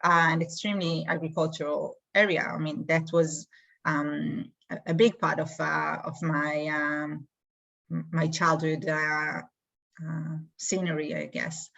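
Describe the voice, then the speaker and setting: A woman with an Israeli accent, answering interview questions in a thoughtful way with many pauses. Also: deep voice, little pitch movement, gravelly, a bit bright, highs cut off. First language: Hebrew. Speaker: guest, community emissary.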